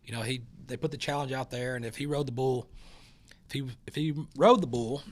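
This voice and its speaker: A man's voice: medium pitch, fairly even intonation, brisk. A man hosting a talk show, chatting casually about rodeo news and interviewing a guest in a rambling, folksy way full of fillers and restarts. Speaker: show host.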